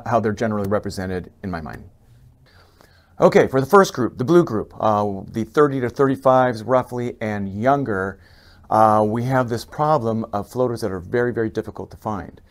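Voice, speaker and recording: A middle-aged man, an eye doctor, explaining slides in a casual lecture with many fillers and restarts. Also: lowish voice. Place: indoor office, webcam inset over slides.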